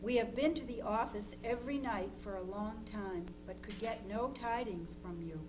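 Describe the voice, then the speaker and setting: A woman's voice: mid-range voice, normal speed, close to an everyday voice. Woman as anxious soldiers' mother, noisy recording. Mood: worried, tender. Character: anxious, devoted mother of Civil War soldiers. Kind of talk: dramatized reading of historical letters. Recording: noisy live recording with hum.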